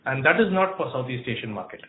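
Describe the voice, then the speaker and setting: A man answering questions as a company executive on an earnings call, speaking in a halting, measured, explanatory way. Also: medium pitch, animated. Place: room on a conference call. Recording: roomy, narrow phone-like line with faint noise.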